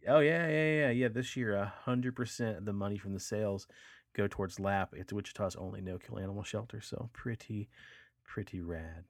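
A man's voice: lowish voice, moderate pace, dry recording, studio quality. A man co-hosting a podcast in casual chat, upbeat and slangy with frequent uh fillers. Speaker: podcast co-host and poster artist.

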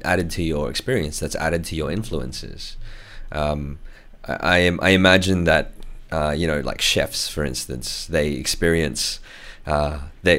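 Husky deep-voiced man with a cold. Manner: halting, many fillers and restarts. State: has a cold. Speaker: podcast guest. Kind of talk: casual podcast chat.

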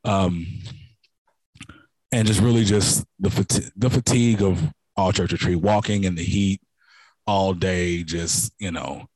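Brief: deep-voiced man, hoarse and raspy, halting church testimony, dry close sound. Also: steady tone, a little mellow, no room sound, rough recording. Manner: rambling, many restarts and fillers. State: hoarse from a cold. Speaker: church member giving testimony. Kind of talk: spontaneous testimony to a congregation.

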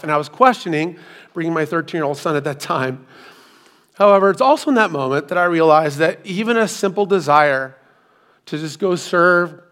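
A hoarse middle-aged man giving a sermon, telling a personal story with self-deprecating asides, speaking into a hands-free microphone. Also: fairly high pitch, fairly bright tone. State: hoarse, recovering from lost voice. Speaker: pastor.

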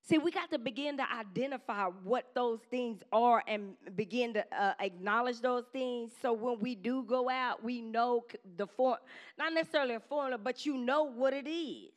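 High-voiced woman preaching to her church. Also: normal speed. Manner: conversational preaching with call-and-response asides; says she has a strong voice. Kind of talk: sermon.

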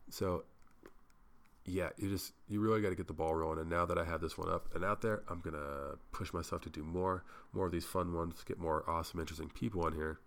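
A man's voice: sits low, steady tone, fairly quick. A young man hosting a solo podcast, rambling casually and swearing, sounding a little congested. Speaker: podcast host.

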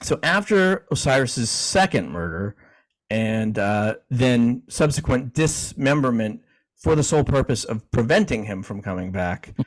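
A man co-hosting a podcast in a relaxed, chatty way, reading definitions aloud and chuckling now and then. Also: medium pitch, measured pace, low-quality recording. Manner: easygoing, chuckling, frequent restarts. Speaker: podcast co-host.

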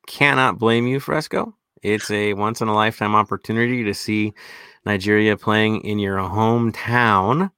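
A man's voice: lowish voice, steady tone, normal speed, pushed and bright, clean tone, dry recording, studio quality. A man co-hosting a casual podcast chat in an upbeat, chummy, emphatic way. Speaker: podcast co-host.

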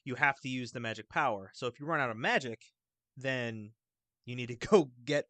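A man chatting casually about video games in a rambling, halting, enthusiastic way. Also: medium pitch, animated, a bit bright, dry recording. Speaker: video game podcast panelist.

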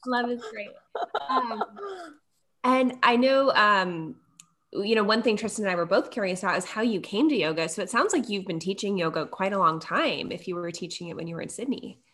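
A woman's voice: mid-range voice, a little fast, a bit bright, slight background noise. A woman co-hosting a casual interview, reacting to her guest with reflective, enthusiastic comments. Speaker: co-host.

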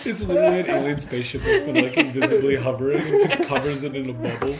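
A woman's voice: fairly low pitch, huge pitch swings, quick pace, a loud crowd. A woman in an improv comedy scene who laughs while she narrates absurd actions.

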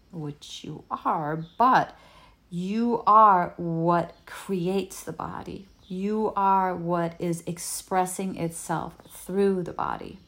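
Woman, Danish native speaker, lowish voice, unhurried, repeats herself, healing teacher. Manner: gentle, unhurried, repeats words as she searches for them. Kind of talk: reflective spoken talk.

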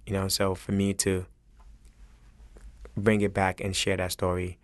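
A man from Senegal with a West African accent speaks earnestly and reflectively in an interview, halting as he searches for his words. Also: sits low, completely flat delivery, no echo. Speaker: chef interviewed as guest.

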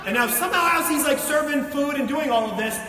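A man's voice: very high-pitched, quick pace, pushed and bright. A man preaching in an informal, colloquial way, telling a story and explaining it with asides. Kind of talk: sermon, conversational storytelling and teaching. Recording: echoey hall, distant mic, faint noise.

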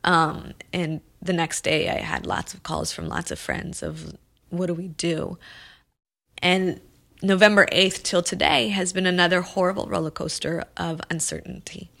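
A woman's voice: on the low side, animated, moderate pace, creaky, a bit bright. A woman who grew up in Los Angeles, speaking as an immigrant rights advocate in an earnest, impassioned conversation. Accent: Los Angeles American English. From Colombia. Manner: impassioned, restarts phrases, builds to emphatic peaks.

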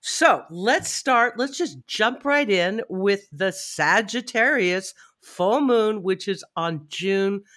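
A woman co-hosting an astrology podcast in casual chat, a bit stuffy from a head cold, upbeat and quick to laugh. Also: mid-range voice, animated, fairly bright tone. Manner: chatty, upbeat, laughs often. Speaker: podcast co-host.

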